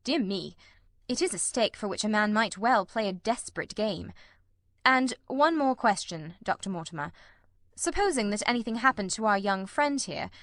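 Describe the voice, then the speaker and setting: A woman voicing a shrewd, composed gentleman detective in a storybook, formal, measured and authoritative. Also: mid-range voice, dry recording, studio quality, close to an everyday voice. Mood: probing.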